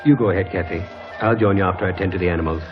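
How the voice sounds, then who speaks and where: An actor as a deep-voiced refined gentleman. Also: racing, natural voice. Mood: courteous. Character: well-bred, polite, disdainful young gentleman. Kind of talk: 1950s radio drama acting. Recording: muffled old radio broadcast with faint music.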